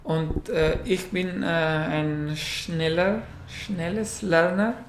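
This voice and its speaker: A man from Afghanistan with an Afghan accent, whose first language is Pashto, answering interview questions in a halting way with many fillers. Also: fairly high pitch, fairly even intonation, roomy sound, a little hiss or hum. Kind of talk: casual interview.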